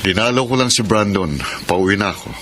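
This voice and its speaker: A man's voice: fairly low pitch, brisk, background noise, close to an everyday voice. A man playing a patient, reasoning estranged husband and father in a radio drama. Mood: calm, reasoning. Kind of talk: Tagalog radio drama acting.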